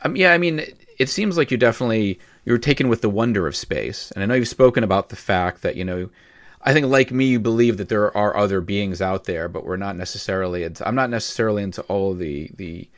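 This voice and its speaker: A man's voice: fairly low pitch, quick pace, dry recording, studio quality. A man hosting a podcast, asking rambling questions with many restarts in a casual interview. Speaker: podcast host.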